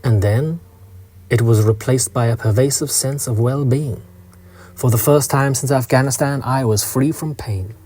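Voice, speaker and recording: A man reading a scripted first-person story in a measured, literary manner. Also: medium pitch, dark tone. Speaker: narrator.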